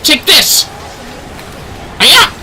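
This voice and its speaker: A man's voice: drawn-out words, pushed and bright, some chatter behind, slight background noise, exaggerated voice. A man voicing fervent fantasy knight commanders in a video game, declaiming urgent battle cries to rally troops. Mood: rousing, defiant.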